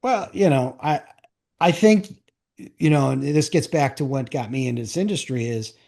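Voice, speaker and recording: A man with a mid-pitched voice hosts a casual interview show. He talks in enthusiastic, rambling riffs, working out his thoughts as he speaks and checking that he is being followed. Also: normal speed, creaky, dark tone. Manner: thinks aloud in rambling, enthusiastic riffs, often checking with "right?". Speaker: podcast host and interviewer.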